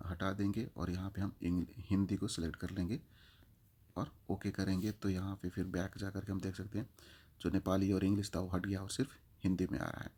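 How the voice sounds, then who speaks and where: A man's voice: deep voice, mellow, slightly rough. A man giving an informal step-by-step app walkthrough, explaining with examples and repeating his instructions. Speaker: app tutorial presenter.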